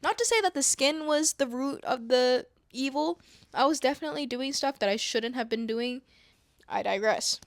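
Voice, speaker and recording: A young woman from Indonesia chatting calmly to camera, soft-spoken with whispery asides and self-mocking laughs. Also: high-pitched, moderate pace, no echo, clean studio sound. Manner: playful, laughing at herself. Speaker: vlogger. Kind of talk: calm casual chat to camera. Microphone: wireless clip-on mic.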